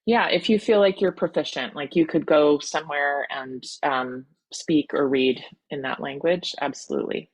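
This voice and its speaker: A woman's voice: deep voice, moderate pace, few pauses, low-quality recording. A woman college admissions counselor teaching an online workshop over a video call, encouraging and full of ums and restarts.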